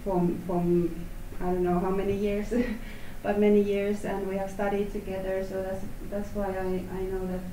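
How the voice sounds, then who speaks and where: A woman's voice: medium pitch, little pitch movement, moderate pace, few pauses, warm tone. A woman introducing herself at a meetup, hesitant and repeating words as she goes. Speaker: regional development manager. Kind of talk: self-introduction at a live-streamed meetup. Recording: roomy, distant mic, faint noise.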